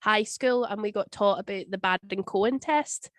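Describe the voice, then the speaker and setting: Scottish woman, husky voice from a cold, wry, chatty storyteller, dry close sound. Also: mid-range voice, normal speed, no echo. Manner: chatty, wry, tells stories with quoted voices. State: husky voice from a cold, sniffling and coughing. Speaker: guest. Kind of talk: casual interview answers. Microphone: video call.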